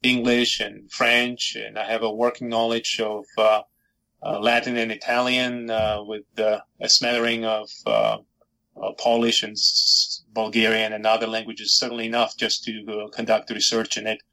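Gravelly man from Georgia, native Georgian speaker. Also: lowish voice, flat delivery, normal speed, bright, forceful tone, rough recording. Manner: thoughtful, many fillers and restarts. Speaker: historian and author. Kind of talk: podcast interview answers.

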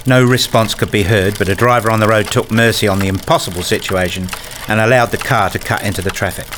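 A man reading scripted first-person narration for a radio play, telling it in a measured, literary way. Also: on the low side, fairly even intonation, background noise.

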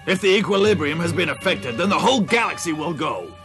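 A man's voice: a little high, animated, quick pace, music in the background, natural voice. A man playing a grave, authoritative commander in a dubbed cartoon.

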